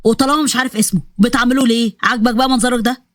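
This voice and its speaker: A woman's voice: on the high side, steady tone, racing, no echo, close to an everyday voice. A woman with an Egyptian accent voicing an exasperated male commander who talks in a scolding way.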